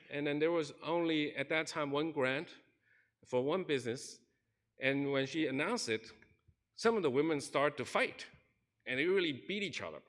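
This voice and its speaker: A man's voice: on the high side, expressive, moderate pace, fairly bright tone. A man preaching a sermon in a church, earnestly telling a story and acting out the people in it. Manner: earnest, recounts stories and acts out quoted people. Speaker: pastor. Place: church sanctuary.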